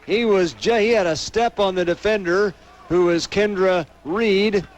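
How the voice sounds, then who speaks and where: A man's voice: high-pitched, unhurried, lo-fi sound. An American man calling live football play-by-play as a radio announcer, over outdoor noise. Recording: noisy outdoor stadium radio broadcast. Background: steady outdoor ambient noise.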